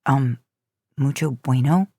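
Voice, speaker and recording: A woman's voice: a bass voice, slow, mellow, no echo, clean studio sound, voice pushed down, exaggerated voice. A woman voicing an awkward, polite teenage boy in a story, speaking hesitantly. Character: awkward, polite sixteen-year-old American boy.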